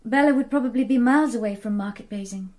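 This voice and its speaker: A woman plays a well-bred young niece in a radio mystery. Her voice is a little high, and she comes across as indignant. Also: quick pace, a little mellow, lightly acted. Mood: indignant, questioning. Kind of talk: radio drama acting.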